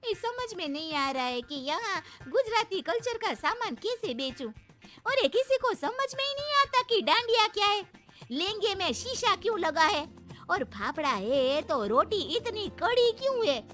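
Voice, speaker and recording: A woman playing a cheerful, sharp-tongued young daughter-in-law with a bold, teasing manner in a cartoon story. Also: extremely high pitch, big pitch swings, unhurried, faint music behind, full character voice. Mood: exasperated. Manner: bold, sassy, teasing, with tag questions. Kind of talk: animated Hindi moral story acting.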